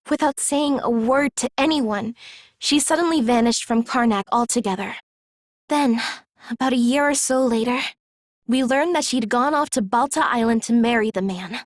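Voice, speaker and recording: A woman plays an earnest, wounded young woman from a video game. Her voice is high and slow, with a soft, hesitant, emotional delivery. The sound is very dry. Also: no echo, lightly acted. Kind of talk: English video game voice acting.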